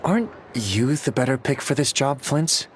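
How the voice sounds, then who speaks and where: A man's voice: medium pitch, expressive, normal speed, a little hiss or hum, natural voice. A man playing a modest, reluctant but dutiful young guardian in a video game, with a casual, dry, self-doubting manner. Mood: doubtful. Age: young adult.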